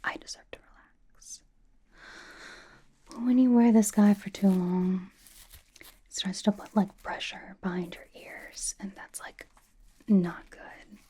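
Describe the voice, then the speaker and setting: A woman's voice: medium pitch, slow pace, pauses often, mellow, breathy edge. A woman playing a blunt, doting young pop idol in a whispered ASMR roleplay, with a casual, dry, slangy delivery.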